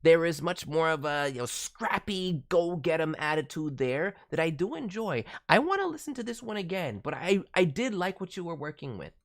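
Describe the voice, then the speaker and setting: Casting: woman, low-pitched voice, emphatic voice director talking through casual feedback and advice. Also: very animated, moderate pace, few pauses. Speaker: voice director reviewing demo reels. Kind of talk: casual spoken critique with advice.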